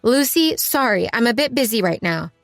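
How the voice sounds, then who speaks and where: A woman's voice: fairly high pitch, expressive, normal speed, no echo, clean studio sound, soft background music. A woman voicing an earnest, betrayed forty-year-old heroine in a narrated story. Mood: flustered.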